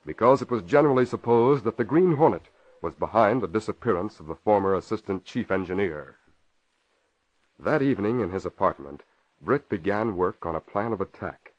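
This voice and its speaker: An actor as the formal narrator of a 1940s-style radio mystery serial, telling the story with urgency. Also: male voice, on the low side. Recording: dull vintage radio recording, faint noise.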